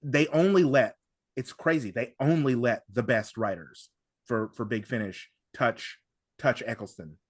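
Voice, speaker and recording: A man's voice: medium pitch, no room sound, studio quality. A man chatting casually as a podcast host, enthusiastic and often doubling back on his words. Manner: halting, repeating words, enthusiastic. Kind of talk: casual discussion of audio drama.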